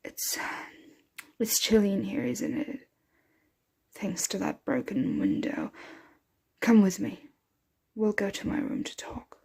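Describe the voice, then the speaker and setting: A woman voicing a possessive, protective, motherly vampire in an intimate ASMR roleplay, breathy and often dropping into a whisper. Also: medium pitch, sing-song, a little mellow, exaggerated voice. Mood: gentle, confiding. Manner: slow, intimate, soothing address to a dear one.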